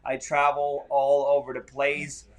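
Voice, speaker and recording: A man's voice: medium pitch, completely flat delivery, unhurried. A man from Costa Rica, a ministry director, talking earnestly and upbeat in a casual livestream chat from a hotel. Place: hotel room.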